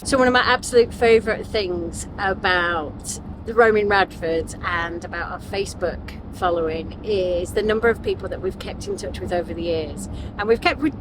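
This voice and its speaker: A British woman in middle age chats casually for a travel vlog. Her pitch is average for a woman. Vehicle and ambient noise are clearly audible in the recording. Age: middle-aged. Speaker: travel vlogger. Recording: noisy vehicle and outdoor ambience.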